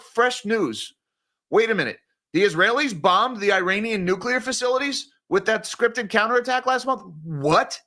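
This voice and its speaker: Brief: male stream host, high and bright voice, ranting and exasperated. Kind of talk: live-stream news commentary.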